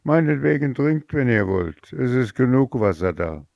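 A man voicing a rude, haughty elder daughter who answers with scorn, in a slow storybook reading. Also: medium pitch, steady tone, warm tone, no echo, studio quality, voice pushed up, close to an everyday voice. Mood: scornful. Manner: sullen, scornful.

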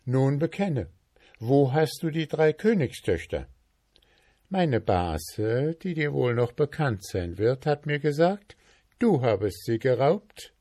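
A man's voice: medium pitch, sing-song, slow, warm tone, dry recording, studio quality, pitched above the performer's own voice. Man voicing a clever young journeyman. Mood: demanding. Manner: pleading, then demanding.

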